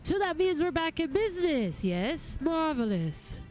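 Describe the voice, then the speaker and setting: A woman's voice: high-pitched, very animated, a little slow, darkish tone, soft background music, voice pushed up. A woman voicing a genteel, theatrical goldsmith lord from a fantasy game, with formal, flowery and precise diction. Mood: jovial. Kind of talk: reading fantasy game dialogue aloud.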